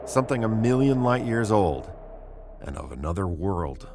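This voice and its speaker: An actor playing a commanding spaceship captain, terse and grim, in the style of old-time sci-fi radio drama. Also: male voice, lowish voice, very animated, normal speed, over music. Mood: somber narration. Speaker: actor and story narrator.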